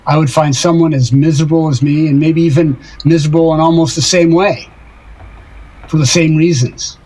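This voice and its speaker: An actor playing a lovesick, self-deprecating older married man in an audio drama, rambling wistfully and haltingly in a husky voice. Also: fairly high pitch, fairly even intonation, a little mellow, natural voice, poor audio.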